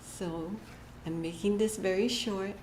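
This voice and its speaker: A woman giving a short inspirational church message, gentle and halting, often laughing at herself. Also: medium pitch, steady tone. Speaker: church speaker. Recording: echoey hall with faint room noise.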